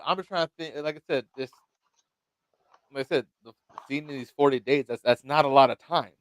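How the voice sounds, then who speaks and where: A man's voice: mid-range voice, a little fast. A man hosting a casual wrestling talk stream, often stammering and restarting as he thinks aloud, chuckling at his own ideas. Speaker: wrestling show host. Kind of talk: casual livestream chat.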